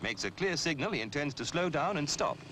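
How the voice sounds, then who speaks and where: A man reading scripted public information film narration in a measured, instructive, emphatic way, on an old film soundtrack. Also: fairly high pitch, very animated, background noise. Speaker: narrator of a road safety film.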